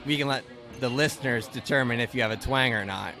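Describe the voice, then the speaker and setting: A man's voice: mid-range voice, fast talker, music in the background. A man from Ontario hosting a podcast, chatting casually and rambling with lots of restarts and "right?" tags. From Ontario, Canada. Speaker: podcast host. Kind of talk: casual conversational interview.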